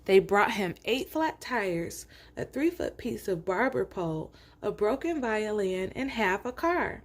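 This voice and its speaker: A woman's voice: medium pitch, animated, a little slow, a little hiss or hum. A woman reading aloud as a children's storytime host, with chatty asides spoken straight to young listeners. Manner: addresses young listeners directly, conversational.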